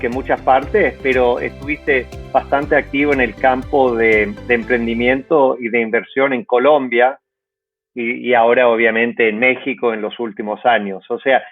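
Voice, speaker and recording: A man's voice: medium pitch, steady tone, normal speed, faint music behind. Male podcast host on a phone-like line. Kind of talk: conversational interview.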